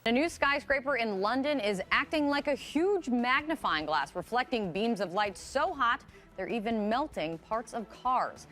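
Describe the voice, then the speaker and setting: A woman's voice: on the high side, lively intonation, moderate pace, fairly bright tone. A woman TV reporter delivering scripted news narration.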